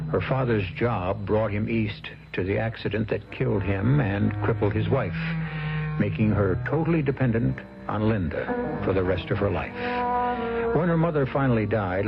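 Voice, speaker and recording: A man narrating an old radio mystery series as host, theatrical and ominous, with measured delivery and a gravelly voice. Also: on the low side. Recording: muffled old radio recording. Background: loud dramatic music.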